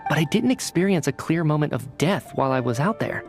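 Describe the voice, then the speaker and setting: An actor playing an earnest young man in a video game cutscene, telling his story in a measured, dramatic way. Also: a little high, lively intonation, faint music behind. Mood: haunted, reflective. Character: earnest young man, a lost soul recounting his death.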